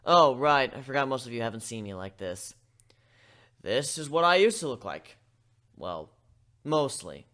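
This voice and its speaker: A man's voice: medium pitch, very animated, unhurried, long pauses, fairly bright tone. A man voicing a young male griffin in human form in a dramatic reading, blunt, offhand and wry. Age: young adult. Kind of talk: dramatic fan-fiction reading.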